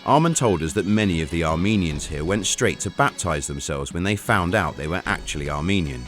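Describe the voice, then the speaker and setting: Deep-voiced man narrating a documentary. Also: lively intonation, a little fast, a bit bright. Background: faint background music.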